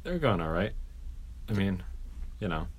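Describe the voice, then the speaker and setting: A man's voice: sits low, very animated, unhurried, breathy edge, poor audio. A young man co-hosting a casual podcast chat, laid-back, reading out search results as he talks. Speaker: podcast co-host.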